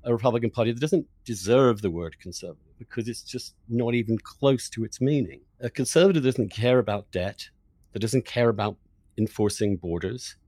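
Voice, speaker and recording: Opinionated man with a low voice. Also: darkish tone, no echo. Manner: opinionated, emphatic, argumentative. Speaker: podcast host. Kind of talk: political debate in conversation.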